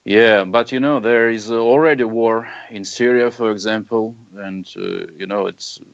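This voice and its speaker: A man who is not a native English speaker playing a polite foreign prime minister on a phone call, with halting, choppy phrasing. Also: lowish voice, steady tone, creaky, no room sound. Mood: doubtful. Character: polite male prime minister of Armenia seeking advice. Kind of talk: prank phone conversation. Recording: dull phone call recording.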